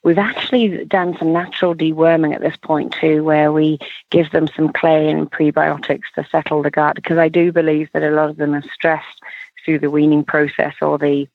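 A woman's voice: deep voice, level tone, normal speed, no echo. A woman horse trainer explaining her methods in an interview, speaking emphatically in lists of steps. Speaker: horse trainer and clinic instructor. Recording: band-limited phone line.

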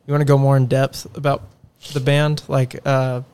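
A young man hosts a laid-back podcast and tosses easy questions to a friend. His voice sits at an ordinary middle pitch and his manner stays relaxed. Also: moderate pace, smooth. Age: young adult. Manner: easygoing, asks questions. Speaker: podcast host. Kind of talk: casual chat.

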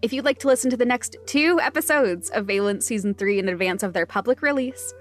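A woman's voice: a little high, fairly quick, faint music behind. A woman reading a scripted podcast announcement and content warning as the show's announcer.

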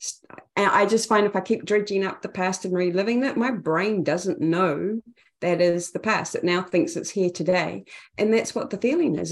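A middle-aged woman with a New Zealand accent talks as a guest on a podcast. She speaks with candid, self-assured conviction. Her pitch sits in a middle range, and she reflects on her work as a coach. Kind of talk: podcast interview conversation.

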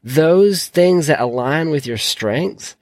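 A man hosting a solo podcast, his voice croaky from a sore throat, talking in an earnest, encouraging way. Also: on the high side, animated, slow, a little mellow, no echo, clean studio sound. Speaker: podcast host.

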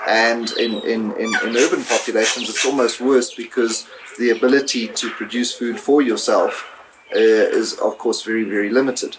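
A man's voice: on the low side, steady tone, normal speed. A middle-aged man from South Africa, raised in Zimbabwe, answering interview questions with many fillers and restarts. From born in South Africa, raised in Zimbabwe. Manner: hesitant, many fillers and repeated words. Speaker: guest, food entrepreneur. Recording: noisy background.

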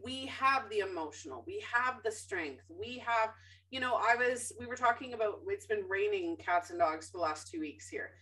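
A woman's voice: medium pitch, expressive, normal speed, bright, forceful tone, recorded from a distance, rough recording. A woman hosting a podcast in a casual, chatty way, opinionated and emphatic, with lots of ums and self-corrections. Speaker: podcast host.

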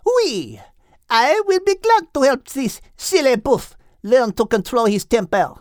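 A man's voice: very high-pitched, big pitch swings, measured pace, dry recording, clean studio sound, pitched above the performer's own voice, exaggerated voice. A man voicing a bossy, abrasive female French goose, brusque and commanding, in an audiobook. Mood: bossy, scornful. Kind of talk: audiobook character acting.

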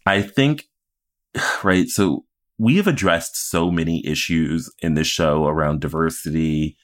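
Man, low pitch, casual podcast co-host, comedic recap chat, playful, sweary. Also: measured pace. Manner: playful, crude humor, swearing.

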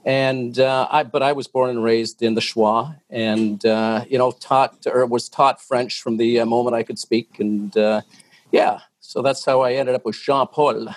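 A middle-aged Canadian man, a detective and hostage negotiator, telling his story in a measured, matter-of-fact way as a podcast guest, with many fillers. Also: medium pitch, fairly even intonation, no echo. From Ontario, Canada.

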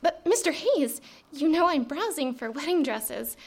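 A woman's voice: sits high, lively intonation, unhurried, vocal fry, exaggerated voice. A woman playing a perky, put-upon young secretary in a live radio drama, chatty and girlish. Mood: exasperated, pleading. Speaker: actor.

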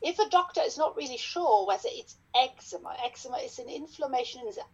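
A woman's voice: high-pitched, animated, fast talker, slightly rough, dull audio. German-accented dermatologist, middle-aged woman, careful step-by-step explainer with a mid-range voice. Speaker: dermatologist, guest expert. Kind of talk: explanatory podcast interview.

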